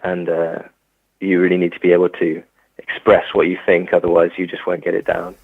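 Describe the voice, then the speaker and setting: A man's voice: sits low, monotone, fairly quick, no room sound. A young English man, a musician, talking hesitantly in an interview with many fillers and restarts. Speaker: musician guest. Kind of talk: casual interview. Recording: tinny phone-like sound.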